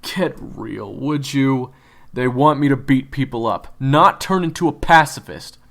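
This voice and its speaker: A man voicing a hot-tempered, sarcastic tough teenage schoolboy who snaps loudly. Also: medium pitch, unhurried, vocal fry, voice pushed up. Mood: annoyed, sarcastic. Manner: snapping, sarcastic, loud.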